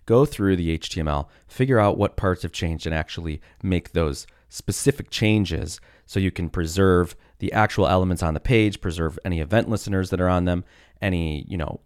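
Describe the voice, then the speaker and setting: A man hosting a casual solo podcast, sick with a cough that has deepened his voice, rambling with many fillers and restarts. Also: normal speed, a little mellow, no room sound, clean studio sound. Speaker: podcast host.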